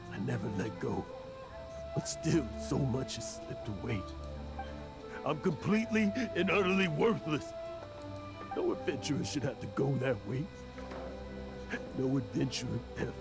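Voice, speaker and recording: An actor playing a weary, guilt-ridden old adventurer in a video game, with gravelly, halting, emotional delivery. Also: male voice, medium pitch, very animated, low-quality recording. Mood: despairing. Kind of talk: dramatic video game voice acting. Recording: game music under the voice.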